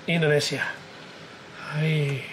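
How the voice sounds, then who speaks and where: A man giving casual, excited live commentary as a hobby vlogger, reacting aloud in exclamations as he goes. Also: fairly high pitch, takes it slowly, slight background noise, rough recording. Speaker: amateur radio operator hosting his own video. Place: indoors at a desk, filming a computer screen.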